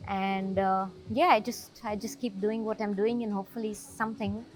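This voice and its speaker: A woman with a medium-pitched voice. She explains her field in a plain, emphatic, informal way as an interview guest. Faint music plays behind her. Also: level tone, moderate pace. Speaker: wildlife researcher, interview guest.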